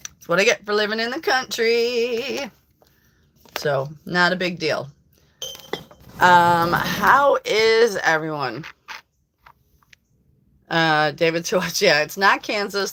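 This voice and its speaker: A hoarse woman hosting a casual live stream from her country home, chatting to viewers in a rambling way and laughing often. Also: on the low side, pushed and bright. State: hoarse from allergies. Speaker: livestream host. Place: rural home.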